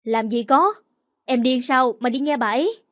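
A woman's voice: high-pitched, moderate pace, no room sound, clean studio sound, close to an everyday voice. A woman voicing a callous, hot-tempered young man who defends himself with bluster in an audio story. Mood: defensive.

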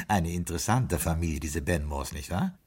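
A man with a nasal, buttery butler's voice, slightly husky, his pitch rising and falling in lively, theatrical curves. Every intake of breath can be heard. The sound is an old mono radio play recording. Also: normal speed. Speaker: actor.

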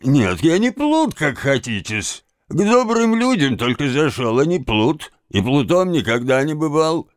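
A man's voice: fairly high pitch, very animated, measured pace, hardly any pauses, no echo, studio quality, pitched above the performer's own voice. A man voicing a tipsy, insolent manservant in an audiobook, drawling and repeating himself in an obstinate, evasive way. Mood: sullen, rambling. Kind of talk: dramatised audiobook of a classic novel.

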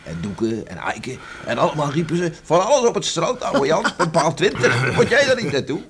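An actor playing a hearty rural beachcomber farmer who tells tall stories in a folksy, jovial way in a Dutch radio play. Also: male voice, on the high side, sing-song, moderate pace, fairly bright tone. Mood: amused storytelling. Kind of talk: Dutch radio drama acting. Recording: noisy old radio play recording.

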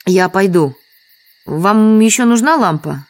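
A woman's voice: medium pitch, expressive, slow pace, darkish tone, no echo. An actress playing a polite, hesitant, evasive village woman in an audio drama. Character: evasive, deferential village woman in a sand pit.

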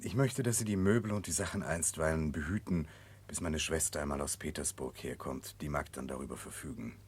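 An earnest nobleman set on atonement, in the manner of 1960s German radio drama. The voice is very low and somewhat husky. He speaks with grave, deliberate insistence. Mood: subdued, grave. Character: remorseful, earnest Russian prince resolved to atone.

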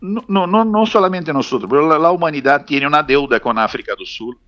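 A man speaking Spanish that is not his first language, stopping and restarting but pressing on with conviction. His voice is bright and forceful. The recording is dry and a little dull. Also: a little high, expressive, brisk, dry recording. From Palestinian community. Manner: hesitant, restarts often, speaks with urgent conviction. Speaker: interview guest. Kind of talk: halting interview answers.

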